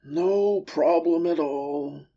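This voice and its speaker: A woman voicing a weary, proud old camel in a storybook, groaning with self-pity in a drawn-out, dramatic way. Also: deep voice, dull audio, exaggerated voice. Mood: resigned, kindly. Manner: slow, drawn-out, dramatic delivery.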